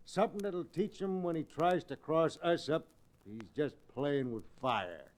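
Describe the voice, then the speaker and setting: An actor playing a smug, scheming cattle boss villain with an American accent in a 1940s radio western. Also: male voice, on the high side, expressive, measured pace. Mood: sly menace. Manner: smug, conniving.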